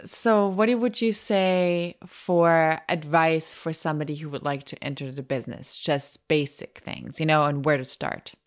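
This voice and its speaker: A woman hosting a podcast interview, chatty and casual, laughing often and filling pauses with "like" and "um". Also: low voice, fairly even intonation. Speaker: podcast host.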